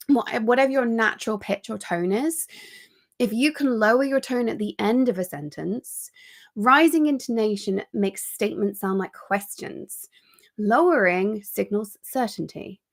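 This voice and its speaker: A woman coaching a live online class, her mid-pitched voice carrying a gravelly fry. She talks in an energetic, chatty way, full of quick asides and ums. The sound comes through a computer mic on a video call. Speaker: executive coach. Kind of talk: live online training talk.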